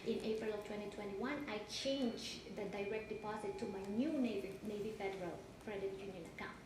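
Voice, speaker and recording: A woman from the Philippines with a Filipino accent, whose first language is Tagalog, giving halting courtroom testimony with many restarts. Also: mid-range voice. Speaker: witness in a divorce hearing. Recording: echoey courtroom, background noise.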